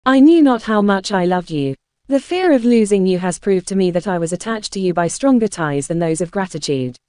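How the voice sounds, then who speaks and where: A woman's voice: mid-range voice, animated, a little fast, dark tone, smooth, dry recording, natural voice. A woman voicing a kind, devoted young woman with tender, earnest words in a storybook tale. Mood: anxious, loving.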